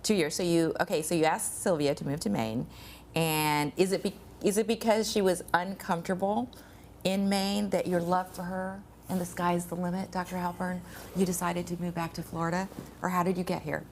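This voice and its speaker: A woman's voice: on the low side, fairly even intonation, normal speed, slight background noise. Effusive woman hosting a TV chat. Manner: warm, reacting with exclamations. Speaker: talk show host. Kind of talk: TV interview.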